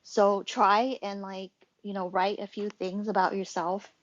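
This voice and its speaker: A woman's voice: mid-range voice, level tone, measured pace, muffled recording. Woman hosting a casual audio chat room. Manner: rambling, many fillers and restarts. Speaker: host and club moderator.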